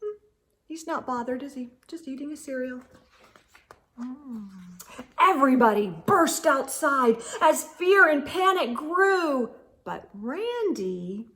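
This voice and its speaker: A woman reading a picture book aloud to children in an animated, dramatic way, with hushed asides to her listeners. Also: fairly high pitch.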